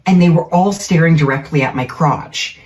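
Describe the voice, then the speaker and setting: A woman's voice: low-pitched, normal speed, darkish tone. A woman talking chattily and playfully into a social media vlog clip. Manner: chatty, playful, breaks into singing.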